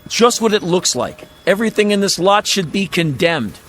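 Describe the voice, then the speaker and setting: Folksy, high-voiced used-car salesman. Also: very animated, normal speed, a bit bright, slight background noise. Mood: blunt, exasperated. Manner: folksy sales patter, flustered, blunt. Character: fast-talking used-car salesman, helplessly blurting the truth. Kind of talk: old-time radio drama acting.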